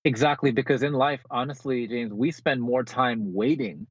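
A man's voice: medium pitch, normal speed, breathy edge, no room sound, dull audio. A man from New Jersey, born in the Philippines, speaking as a motivational guest in a conversational interview over a remote call. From born in the Philippines, grew up in New Jersey.

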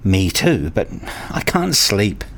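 A man's voice: on the low side, sing-song, slow pace, fairly bright tone, full character voice. Earnest, frustrated family dad played by a man. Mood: dejected. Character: frustrated, pleading family father narrating his own life. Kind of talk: first-person radio play narration and dialogue.

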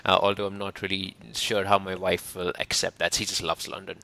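A man's voice: deep voice, little pitch movement, normal speed, a bit bright. A man hosting an interview podcast in an upbeat way, often laughing. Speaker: podcast host. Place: lives in London.

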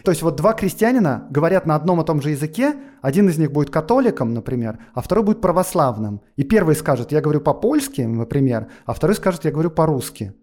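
A man's voice: fairly high pitch, sing-song, quick pace, few pauses, warm tone. A man explaining history on a podcast in a lecturing way, with frequent fillers and asides. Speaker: guest historian.

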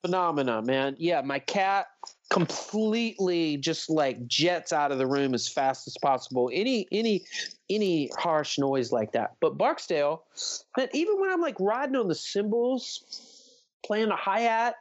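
A man's voice: a little high, animated, measured pace. A laid-back man in his fifties talks in long, looping sentences, dropping "man" again and again. He chats casually from home. The recording sounds a little dull and muffled. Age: 50s. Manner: laid-back, rambling, says "man" a lot. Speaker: podcast co-host, drummer. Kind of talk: casual chat.

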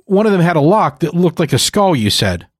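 A man voicing a gentle, worried grandson talking with his ailing grandfather. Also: on the high side, big pitch swings, a little fast, no echo, clean studio sound, voice pushed up. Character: worried grandson at a hospital bed.

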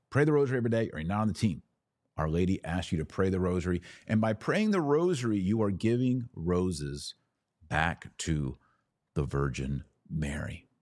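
A man with a low-pitched voice speaks as a podcast host and teacher. His delivery is conversational and earnest, explaining as he goes. The recording is dry and close, with very little room sound. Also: no room sound. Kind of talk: casual teaching podcast talk.

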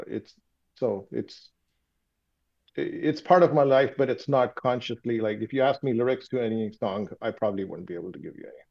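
A man's voice: mid-range voice, creaky. Field: man, reflective, muffled call. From Pakistan. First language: Urdu. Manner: reflective and philosophical, halting with many fillers. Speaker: interviewee. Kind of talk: casual conversation on a call.

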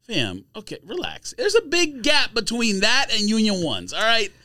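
Bright, argumentative American man, lively pitch. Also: normal speed, no echo, studio quality. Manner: argumentative, slangy, emphatic. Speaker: podcast co-host. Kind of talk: casual podcast debate.

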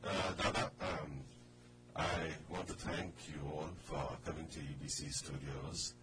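Radio man, Indian accent, extremely deep pitch, halting earnest delivery, very noisy recording. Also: fairly even intonation. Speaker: radio station host. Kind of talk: earnest informal radio talk. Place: radio studio.

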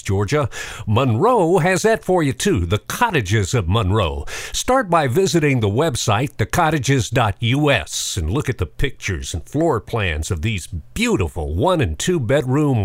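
A man hosting a radio show with very animated, swooping intonation and a close, dry sound. His mid-pitched voice delivers scripted copy in a folksy, conversational sales style. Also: dry recording. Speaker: radio host and sponsor announcer. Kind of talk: scripted radio narration and ad read.

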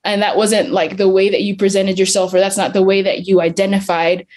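A woman's voice: medium pitch, flat delivery, brisk, a little mellow. A young woman with an American accent who grew up in England, an earnest podcast guest who talks reflectively and says "like" and "um" a lot. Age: young adult. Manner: earnest, heavy use of "like" and "um". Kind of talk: reflective interview talk.

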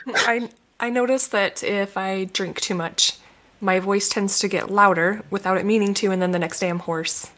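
Female co-host, mid pitch, candid casual talk. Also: steady tone, normal speed, fairly bright tone. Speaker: podcast co-host. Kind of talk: casual chat.

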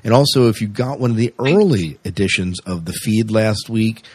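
A man's voice: fairly low pitch, moderate pace, husky, no room sound. A man co-hosting a podcast in a casual, chatty, jokey way with rambling asides. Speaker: podcast co-host.

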